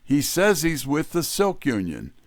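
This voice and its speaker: Slow, animated male detective narrator. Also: a little high, dry recording, clean studio sound. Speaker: first-person detective story narrator. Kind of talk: scripted hardboiled narration.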